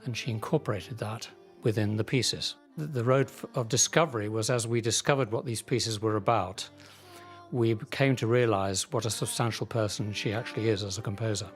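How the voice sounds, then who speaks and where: A man, an amateur musician, speaking in a measured, articulate way in an interview. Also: fairly low pitch, steady tone, soft background music. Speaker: amateur mandolin player. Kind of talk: informal interview.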